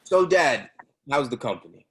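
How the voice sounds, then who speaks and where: A man's voice: medium pitch, big pitch swings, normal speed, slight background noise, natural voice. A man acting a nervous, eager-to-please young son in a play reading, evasive and flustered.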